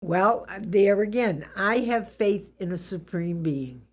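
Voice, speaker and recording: An elderly woman with a Boston accent, reflecting on her life in an interview in a deliberate, plain-spoken, good-humored way. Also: mid-range voice, expressive. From Boston area, USA.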